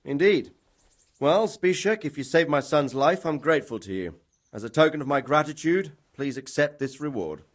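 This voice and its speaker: A man acting a composed, commanding medieval lord in a video game, measured and formal. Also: on the high side, animated. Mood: courteous.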